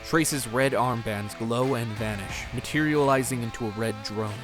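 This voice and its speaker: Man, scripted narration, audio drama, mid-pitched voice, faint music underneath. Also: moderate pace.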